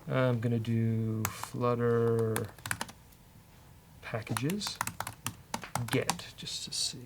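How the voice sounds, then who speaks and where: A man with a creaky, gravelly voice talks through his code as he goes. He is casual and a bit hesitant, often muttering to himself. There is faint noise behind him. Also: medium pitch, level tone, very slow. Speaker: developer and show host. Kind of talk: casual live coding commentary, thinking aloud.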